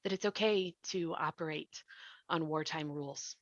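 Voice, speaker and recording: Woman, deep pitch, earnest, reassuring, reflective podcast advice, dry and slightly dull audio. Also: normal speed, no echo. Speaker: podcast panelist.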